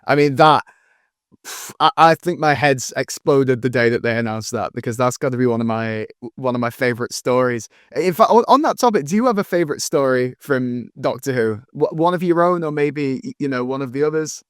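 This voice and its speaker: A man's voice: medium pitch, a little fast, smooth, no echo, clean studio sound. A man hosting a casual fan interview in an eager, effusive manner. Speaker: interviewer, host.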